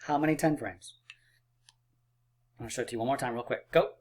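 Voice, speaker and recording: A man teaching a math lesson for children, upbeat and encouraging, a little hoarse and clearing his throat. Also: medium pitch, fast talker, darkish tone, slight background noise. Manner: upbeat and encouraging, playful drum rolls and counting aloud. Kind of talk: math lesson video for kids.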